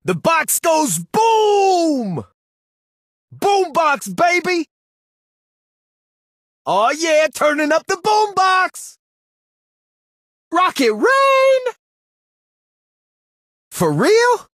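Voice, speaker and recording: A man's voice: very high voice, wildly swooping pitch, very slow, long pauses, fairly bright tone, dry recording, studio quality, heavily acted. An actor voicing a brash, boastful young male brawler in a video game, delivering hyped trash-talking one-liners.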